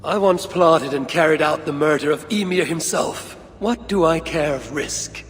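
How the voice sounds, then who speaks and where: An actor playing a proud, resolute god in a fantasy video game, speaking in a formal, commanding, measured way. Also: male voice, on the high side, lightly acted. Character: proud, resolute god, a father seeking his son. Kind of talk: video game cutscene acting. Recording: long reverb, some background noise.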